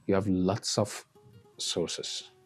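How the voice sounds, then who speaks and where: Slow, deep-voiced Iranian man, hesitant. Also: little pitch movement, faint music behind. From Iran. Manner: hesitant, pausing with many uh fillers. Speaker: artist guest. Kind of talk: casual interview chat.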